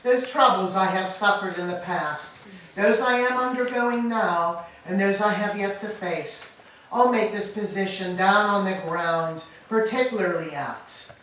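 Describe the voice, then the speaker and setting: A woman's voice: medium pitch, unhurried, fairly bright tone, natural voice. A woman playing a grieving, proud old enslaved queen, declaiming laments in a staged reading of Greek tragedy. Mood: weary lament. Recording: distant, echoey hall with background noise.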